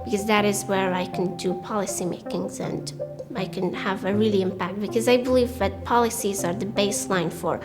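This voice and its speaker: A young woman with an Afghan accent speaks earnestly in an unscripted interview. Her voice is medium in pitch, and music plays clearly behind her. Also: fairly even intonation, normal speed. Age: young adult. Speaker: university student interviewee. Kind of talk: spontaneous interview talk.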